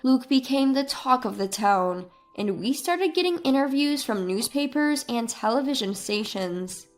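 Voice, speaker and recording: A woman's scripted story narration is delivered in the first person. Her voice sits fairly high, with soft music underneath. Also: animated, unhurried.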